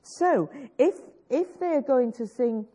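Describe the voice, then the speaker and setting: A woman's voice: sits high, animated, slow, dark tone, husky. A woman with a growly voice preaching a sermon, earnestly urging the congregation and coaxing them to join in. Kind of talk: sermon, teaching a worship song. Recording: dull lo-fi recording.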